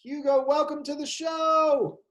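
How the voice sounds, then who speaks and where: A man hosting a psychology show for kids, speaking in a slow, calm, encouraging way. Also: very high voice. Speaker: show host. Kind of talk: gentle talk to children.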